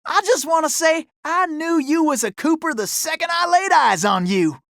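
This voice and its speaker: An actor voicing a cocky, folksy raccoon gunslinger with a Southern cowboy drawl for an animated video game. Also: male voice, very high voice, normal speed, no room sound, studio quality, full character voice. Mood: gruff, wary. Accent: American Southern cowboy drawl. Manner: folksy, excitable, exclamatory cowboy slang. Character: cocky, folksy cartoon Old West raccoon gunslinger, male.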